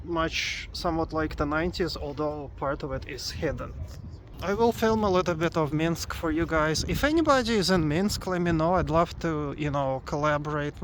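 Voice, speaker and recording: A man in his forties from Russia giving a casual vlog update outdoors in a windy city, talking in a halting, rambling way with lots of fillers. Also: a little high, a bit bright. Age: 40s. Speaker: vlogger. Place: outdoors in a city among tall buildings, windy.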